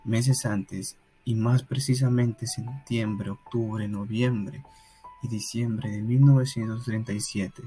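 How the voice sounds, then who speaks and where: A man narrating a literary script for a student radio drama in a measured, solemn way. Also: lowish voice, steady tone, warm tone, faint music behind.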